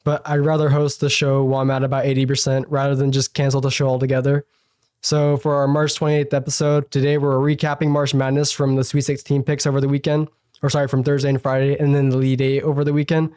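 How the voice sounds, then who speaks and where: Young male radio sports host, a bit hoarse with a cold, even monotone delivery, mellow tone, dry studio sound. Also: medium pitch, quick pace, dry recording. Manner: chatty, many fillers and self-corrections. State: slightly hoarse from a cold, sniffly. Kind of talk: casual solo sports talk.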